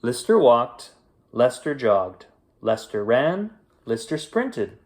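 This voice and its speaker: A man with a mid-pitched voice reads a children's story aloud. His pace is slow and unhurried, and he uses deliberate pauses for drama in an expressive bedtime-story style. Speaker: storyteller reading a children's picture book.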